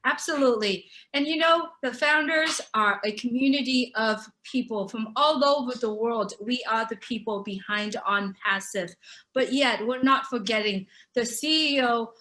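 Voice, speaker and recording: A woman opens an online show with bubbly, gushing welcomes. Her voice is bright and crisp and sits a little high. She talks over a video call. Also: normal speed, poor audio. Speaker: show host.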